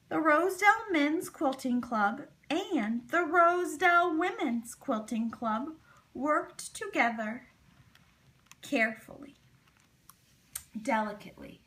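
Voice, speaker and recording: A woman reading a children's story aloud in an expressive, deliberate, dramatic way. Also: high voice. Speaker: storybook reader.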